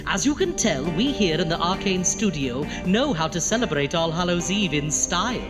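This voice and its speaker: Horror host doctor, played by a man, pompous, dramatic, lively intonation, campy comedy, light music underneath. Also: high voice, moderate pace. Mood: grandly welcoming. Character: theatrical, exasperated male horror-show doctor host. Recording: music bed behind voice.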